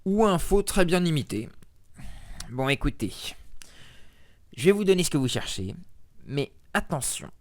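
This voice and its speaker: Guy doing a muttery paranoid monk in his fifties, quiet, picks at everything. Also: fairly high pitch, very animated, unhurried, pauses often, voice pushed up. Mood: needling. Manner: muttering, hushed, needling and distrustful. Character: suspicious one-eyed monk archivist, man in his fifties.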